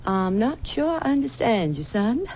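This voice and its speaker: A possessive older mother in a vintage radio play. She coaxes, pleads and stammers in a high voice. The broadcast sound is dull, with faint hiss. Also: big pitch swings, a little mellow. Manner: coaxing and possessive, halting with stammers. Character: clinging, possessive aging mother. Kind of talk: 1970s radio drama acting.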